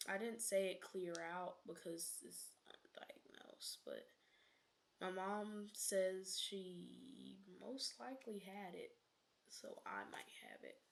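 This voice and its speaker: A woman's voice: lowish voice, slow, creaky. A young woman streaming a game, chatting casually and rambling off on sidetracks, often correcting herself and dropping into whispered asides.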